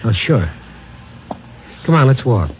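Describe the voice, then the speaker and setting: An actor playing a tough but compassionate newspaper reporter in an old-time radio drama, with clipped, wry delivery. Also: male voice, medium pitch, very animated, takes it slowly, slight background noise. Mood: gentle, worried. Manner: clipped, sardonic, hard-boiled. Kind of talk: old-time radio drama acting with first-person narration. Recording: old muffled radio recording with music.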